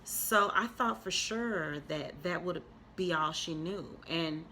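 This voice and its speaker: Slow-talking woman with a bright voice. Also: fairly low pitch, a little hiss or hum. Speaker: host and interviewer. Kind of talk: reflective commentary to camera.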